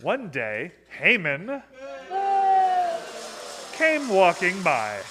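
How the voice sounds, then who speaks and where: A man narrating a puppet show for children in simple, measured, theatrical phrases. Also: sits high, huge pitch swings, background noise. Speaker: storyteller, narrator. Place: social hall, live broadcast.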